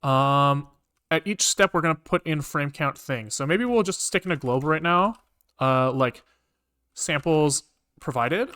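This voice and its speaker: A man with a slightly high, bright voice that comes through clean and clear. He is live-streaming as a programmer, thinking out loud in an informal way and often muttering to himself. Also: measured pace. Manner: informal, mutters to self, swears casually. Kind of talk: casual think-aloud live coding commentary.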